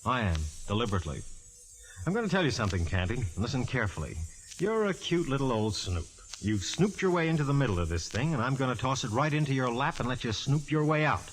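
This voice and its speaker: An American actor playing a gruff, sardonic, teasing police detective in a vintage 1940s radio drama. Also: male voice, mid-range voice, sing-song, fast talker, lightly acted, low-quality recording. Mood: sly, firm. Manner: blustery, sardonic, slangy.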